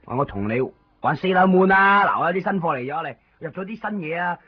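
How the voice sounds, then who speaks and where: A man's voice: sits high, expressive, a little fast, breathy edge. A man acting a coaxing, worldly caretaker in a radio drama. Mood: cajoling. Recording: dull 1990s radio recording. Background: faint music.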